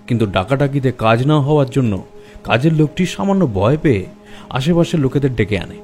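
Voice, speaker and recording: Casting: male actor for a harried, halting police officer, audio mystery drama, medium pitch, music underneath. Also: darkish tone, lightly acted. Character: harried male police officer reporting a baffling murder case. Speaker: actor in an audio detective story. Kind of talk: Bengali audio drama acting.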